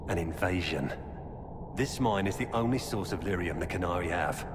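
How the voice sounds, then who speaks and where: An actor playing a grim former Templar turned Qunari informant in a video game, speaking in a grave, measured, earnest way. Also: male voice, sits low, natural voice. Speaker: game character. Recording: game audio with long reverb and ambient noise.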